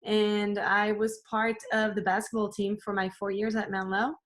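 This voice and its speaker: A woman in her late twenties from southern France, speaking as a podcast guest, soft-spoken and hesitant, with many fillers. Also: medium pitch, flat delivery, normal speed. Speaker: guest, accountant. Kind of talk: podcast interview.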